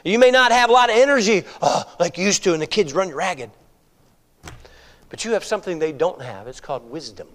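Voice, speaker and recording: A man's voice: sits high, expressive, fairly quick, fairly bright tone, long reverb tail. A man in his fifties preaching a conversational sermon, full of rhetorical questions and frequent checks like "all right?". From United States. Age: 50s. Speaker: pastor.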